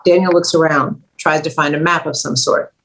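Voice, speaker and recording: A woman's voice: deep voice, fairly even intonation, normal speed, a bit bright, slight background noise, rough recording. A woman narrating scripted stage directions at a table read.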